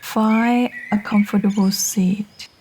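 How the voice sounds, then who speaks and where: A woman guiding a meditation in a gentle, soothing manner, speaking in short phrases with long, unhurried pauses. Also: medium pitch, fairly even intonation, slight background noise. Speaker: meditation guide. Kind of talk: guided meditation narration.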